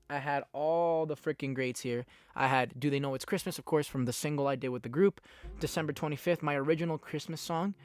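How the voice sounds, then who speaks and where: A man's voice: on the high side, a bit bright. A young man from a Dominican and Peruvian background hosting his own podcast, rambling loosely, restarting sentences and swearing often. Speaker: podcast host. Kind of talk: casual solo podcast talk.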